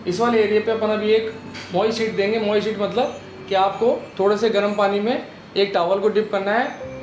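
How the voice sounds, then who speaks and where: High-voiced man teaching physio exercises. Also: low-quality recording. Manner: calm, instructive, explains step by step. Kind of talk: clinic exercise demonstration. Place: physiotherapy clinic room.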